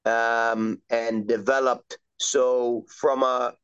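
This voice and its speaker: Smooth-voiced easygoing middle-aged man. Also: medium pitch, level tone, drawn-out words, fairly bright tone. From Trinidad and Tobago. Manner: easygoing, rambling with many fillers. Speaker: soccer coach, guest. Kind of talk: casual interview chat. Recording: dull lo-fi recording.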